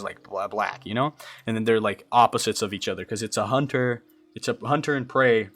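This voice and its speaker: A man's voice: medium pitch, fairly bright tone. Young man rambling on stream. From Brazil. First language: Portuguese. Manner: rambling, thinks aloud, answers chat, stammers and restarts. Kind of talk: casual livestream chat while building a game creature.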